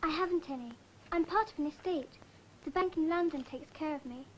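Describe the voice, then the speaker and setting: A woman's voice: high-pitched, brisk, close to an everyday voice, rough recording. Young female actor as blunt orphan schoolgirl, 1960s film: piping, pert, matter-of-fact, faint noise behind. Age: child.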